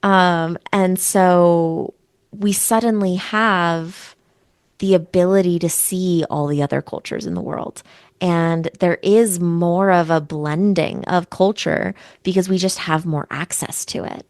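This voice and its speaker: A woman therapist speaks openly as a podcast guest, her voice a little low and recorded dry and close. She gets animated, acting out her own inner voice as she tells her story. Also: takes it slowly, no echo. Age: young adult. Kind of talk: candid conversational interview.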